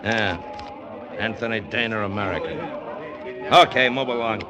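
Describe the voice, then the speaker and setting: An actor playing a brusque, greedy riverboat skipper in an old-time radio drama, gruff and impatient. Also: male voice, fairly low pitch, moderate pace, muffled recording, natural voice. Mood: gruff, contemptuous. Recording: old radio recording, crowd noise behind.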